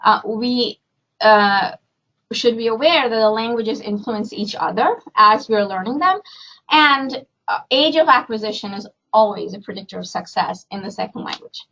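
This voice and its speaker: Woman, lecturer, fairly high voice, filler-heavy chatty delivery, dull lo-fi sound. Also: expressive, normal speed, sharp tone. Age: middle-aged. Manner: chatty, self-correcting, frequent fillers. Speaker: university lecturer. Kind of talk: academic seminar talk. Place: seminar room with live audience.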